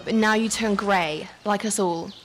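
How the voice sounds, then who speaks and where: A woman's voice: mid-range voice, steady tone, unhurried, faint music behind, close to an everyday voice. An actress as a blunt, earthy middle-aged woman. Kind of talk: literary radio drama acting.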